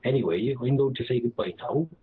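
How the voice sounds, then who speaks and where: A man with a raspy voice rambling through a silly comic monologue and playfully switching accents. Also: medium pitch, a little fast. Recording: tinny phone-like line.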